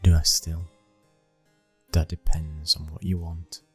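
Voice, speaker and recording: Very deep, husky British mafia heir, acted by a man. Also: level tone, slow, fairly bright tone, soft background music, full character voice. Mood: guarded, frank. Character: brooding, dominant young mafia boss's son, possessive and menacing. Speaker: ASMR roleplay voice actor. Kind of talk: intimate ASMR boyfriend roleplay acting.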